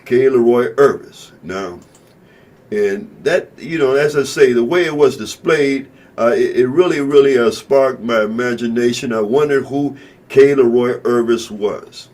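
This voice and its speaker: A man's voice: mid-range voice, measured pace, darkish tone. A man hosting an internet radio show, talking in a rambling, casual way with many fillers and repeated phrases. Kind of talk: casual talk-radio monologue.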